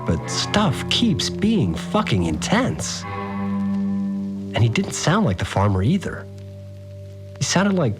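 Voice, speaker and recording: An actor playing a rattled, slangy, laid-back guy, telling his story conversationally in an audio drama. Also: medium pitch. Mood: bewildered, shaken. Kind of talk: informal first-person storytelling in audio drama.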